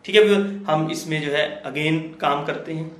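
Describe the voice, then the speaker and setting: A man giving a screen-recorded software lesson, explaining each step slowly and often checking in with his viewers. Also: a little high. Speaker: computer tutor. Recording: echoey room.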